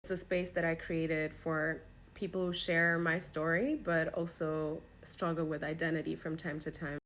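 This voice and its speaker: A woman who grew up in Germany speaks in a fairly low voice. She delivers an earnest, emphatic opinion piece like a podcast host talking to her followers. Some ambient noise sits behind her voice. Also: level tone, normal speed, a bit bright. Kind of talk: earnest opinion talk to camera.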